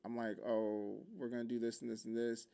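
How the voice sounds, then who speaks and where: Gravelly man telling a lively story. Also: medium pitch, a little fast. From Hawaii, Oahu. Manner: animated, acts out quotes in shifting voices. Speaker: podcast host. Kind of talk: casual storytelling.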